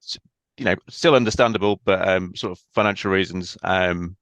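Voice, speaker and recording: Low creaky-voiced man, measured. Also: a bit bright, no echo. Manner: thoughtful, measured, with frequent ums. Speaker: podcast guest. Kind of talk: casual interview chat.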